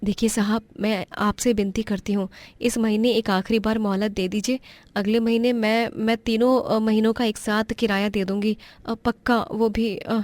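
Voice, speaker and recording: A woman's voice: fairly high pitch, little pitch movement, moderate pace, a little mellow, no room sound, close to an everyday voice. Woman acting kind, earnest young housewife. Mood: pleading. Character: kind, resourceful young married woman, eager to help.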